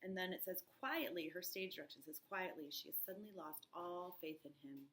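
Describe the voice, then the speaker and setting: A woman's voice: fairly low pitch, brisk, fairly bright tone. A woman teacher explaining informally in a classroom, often checking understanding with short tag questions. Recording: noticeable background noise.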